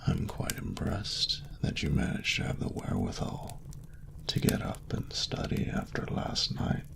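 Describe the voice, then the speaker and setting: A man voicing a suave, doting dragon lover in an intimate romantic audio roleplay, speaking formally and seductively in a breathy, gravelly half-whisper. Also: natural voice. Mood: fond, gently teasing. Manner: slow, breathy, formal archaic phrasing, seductive.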